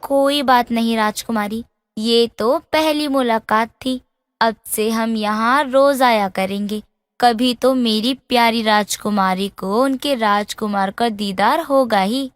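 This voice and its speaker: A woman's voice: fairly high pitch, slow, studio quality. A woman playing a princess's caring young companion in a fairy tale, solicitous and coaxing in manner. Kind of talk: animated fairy-tale voice acting. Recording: long hall-like reverb.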